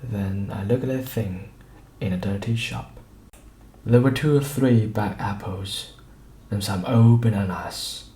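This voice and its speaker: A man reading an audiobook story in a deliberate way, pausing between short phrases. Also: lowish voice, dark tone, reverberant. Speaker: audiobook reader. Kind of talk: scripted story narration.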